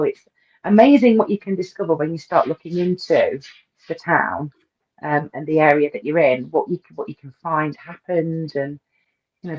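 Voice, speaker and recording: A woman's voice: low voice, darkish tone, lo-fi sound. A woman, a guest author chatting in an interview, enthusiastic and hesitant with many ums and repeats. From English East Midlands. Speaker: guest author and paranormal researcher. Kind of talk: casual interview chat.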